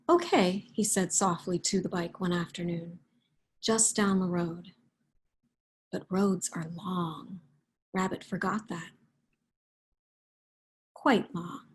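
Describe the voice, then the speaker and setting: Slow-spoken woman with a soft, husky voice. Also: lowish voice. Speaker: picture book author and guest. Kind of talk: read-aloud storytelling and reflective interview talk.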